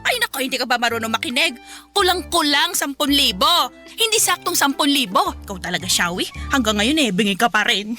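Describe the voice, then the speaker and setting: A brash Filipina mother who wheedles for money in a radio drama. Her voice is bright and forceful and swings widely in pitch. She nags and pushes like someone who will not take no for an answer. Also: expressive, fairly quick, faint music behind. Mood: scolding, brazen. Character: pushy, shameless, money-grubbing middle-aged Filipina mother.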